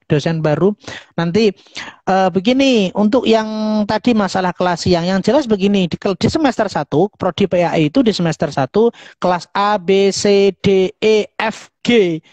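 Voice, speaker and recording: A woman's voice: lowish voice, darkish tone, dry recording. A woman with a Javanese accent hosting an informal online question-and-answer session. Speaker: host, university staff member. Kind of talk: informal online live talk and Q&A.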